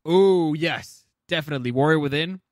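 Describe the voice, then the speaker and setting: A man's voice: a little high, normal speed, dry recording, clean studio sound. A man co-hosting a podcast in casual, informal chat, with some swearing. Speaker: podcast co-host. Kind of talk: casual podcast chat ranking video game movies.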